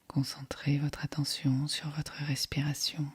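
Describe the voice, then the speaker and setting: Woman doing guided meditation, super soft whispery voice, really slow n calm, close dry sound. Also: low voice, breathy edge, no echo. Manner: calm, soothing, measured delivery. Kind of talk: guided yoga nidra meditation.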